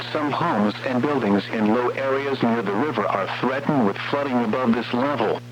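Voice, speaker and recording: A synthetic text-to-speech man's voice with an American accent reads a formal, measured emergency weather bulletin over weather radio. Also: medium pitch. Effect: weather radio broadcast. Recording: dull noisy weather radio with hum.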